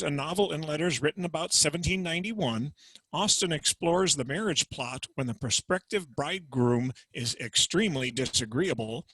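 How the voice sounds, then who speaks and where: A man introducing and narrating a dramatic reading over a video call, in a measured, explanatory way. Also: fairly high pitch, a bit bright, breathy edge, no room sound, rough recording.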